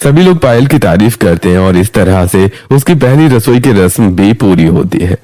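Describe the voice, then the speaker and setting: A man's voice: fairly low pitch, animated, normal speed, dark tone. A man narrating a scripted moral story.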